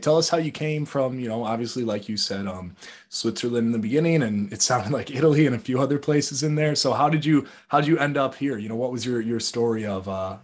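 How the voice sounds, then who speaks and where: Husky-voiced male podcast host. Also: mid-range voice. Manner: upbeat, many filler words. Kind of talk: podcast interview hosting.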